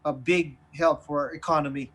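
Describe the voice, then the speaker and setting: A man co-hosting a casual current affairs chat, haltingly repeating phrases and checking in with short tag questions. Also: a little high, fairly even intonation, a bit bright. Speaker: talk show co-host. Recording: music bed behind the voice. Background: music.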